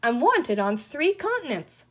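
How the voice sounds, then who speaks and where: Woman voicing a high, theatrical little green man. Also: big pitch swings, unhurried. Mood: boastful. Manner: theatrical, exclamatory. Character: small comical green man playing boastful gangster and pirate.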